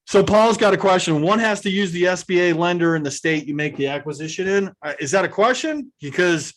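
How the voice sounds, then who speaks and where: A man's voice: sits high, a little fast. A man answering questions as host in a casual, conversational way, explaining things through anecdotes. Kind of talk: casual question-and-answer talk on a video call.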